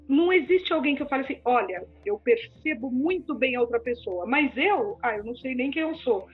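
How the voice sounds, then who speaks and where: A woman with a Brazilian Portuguese accent, a podcast guest explaining communication and empathy, animated and sometimes acting out other people. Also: fairly high pitch, slightly rough. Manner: animated, acts out quoted people. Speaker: speech therapist guest. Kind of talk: podcast conversation. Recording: phone-like lo-fi audio with faint music.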